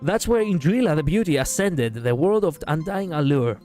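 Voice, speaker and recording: Raspy-voiced male game streamer. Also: on the high side, lively intonation, normal speed, mellow, faint music behind. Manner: rambling, laughing, swearing. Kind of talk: casual gaming stream chat.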